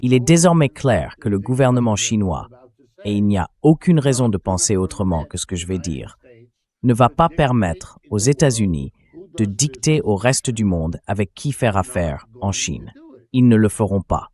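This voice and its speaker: A man's voice: lowish voice, expressive, moderate pace, dark tone. A man's synthetic AI voice-over delivering conversational, explanatory political commentary as a dubbed interview.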